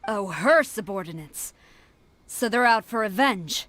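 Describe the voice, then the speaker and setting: A woman's voice: mid-range voice, lively intonation, unhurried, fairly bright tone, dry recording. A woman voicing a stern, honour-bound military commander in a video game, formal, commanding and clipped. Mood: grim resolve.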